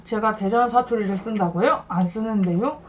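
A woman's voice: medium pitch, moderate pace. Young woman chatting on a lo-fi stream. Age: young adult. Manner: chatty, thanks viewers, murmurs between bites. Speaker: mukbang streamer. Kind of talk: casual live-stream chat while eating. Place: small room indoors at a table. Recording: dull lo-fi stream audio, faint noise.

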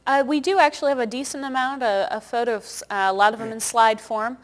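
A woman's voice: on the high side, fairly even intonation, brisk, low-quality recording. A young American woman from northeast Indiana, a chatty interview guest explaining things casually. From northeast Indiana, United States. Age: young adult. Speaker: interview guest, park naturalist. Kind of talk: casual informative interview talk. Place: small TV studio. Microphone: lapel mic.

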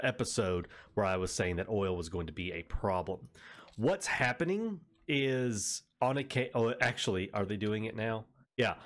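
Nasal man with a head cold. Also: fairly low pitch. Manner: rambling, self-correcting, explains as he plays. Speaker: let's play host. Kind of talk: casual gameplay commentary.

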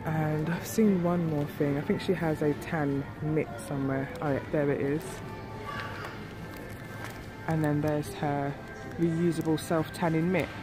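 A woman's voice: low voice, fairly even intonation, measured pace. Woman, stuffy nasal voice, chatty shop vlog over music. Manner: chatty, filler-heavy. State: nasal from a cold. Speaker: beauty vlogger. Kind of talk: casual shop walkthrough. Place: shop aisle, indoors. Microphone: handheld phone camera.

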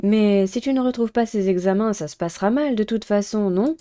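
A woman's voice: mid-range voice, fairly quick, mellow, natural voice. A woman voicing an eager, inquisitive teenage schoolgirl heroine in a dating game. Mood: earnest.

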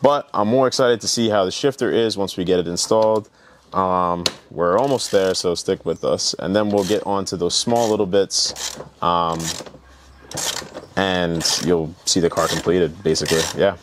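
A young man with a low-pitched voice gives an informal, hands-on tutorial. He explains things in plain, conversational terms for a car DIY vlog. He is on a clip-on mic, with noticeable noise in the background. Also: normal speed. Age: young adult. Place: indoor garage, partly inside car. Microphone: clip-on lavalier.

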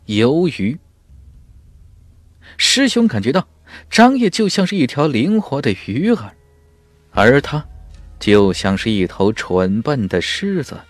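A man's voice: medium pitch, big pitch swings, takes it slowly, long pauses, no room sound. A man reading dramatic scripted fantasy narration as an audiobook narrator. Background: faint music.

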